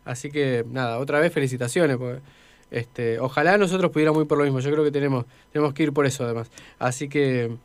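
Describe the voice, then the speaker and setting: A man's voice: mid-range voice, fast talker, no room sound. A man with an Argentine accent co-hosting a conversational radio interview, speaking in an emphatic, motivational way with repetition. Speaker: radio co-host.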